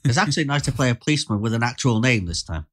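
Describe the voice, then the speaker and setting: A man's voice: mid-range voice, expressive, rapid-fire, fairly bright tone. A man chatting casually and agreeably as a podcast panelist. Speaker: podcast panelist and amateur actor. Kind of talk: casual chat.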